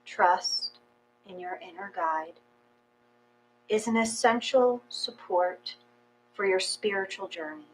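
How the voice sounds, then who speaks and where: A woman reading a guided meditation aloud in a slow, calm, measured way with long pauses. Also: medium pitch, low-quality recording. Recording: faint mains hum.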